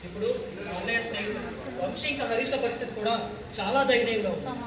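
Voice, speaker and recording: An earnest, grieving younger brother played by a man pleads in a high voice on stage. The recording is very echoey and distant, with a crowd audible behind. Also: fast. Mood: earnest, pleading. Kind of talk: one-act stage play acting. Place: echoey hall, voice far from mic. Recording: very echoey hall, distant, audience murmur.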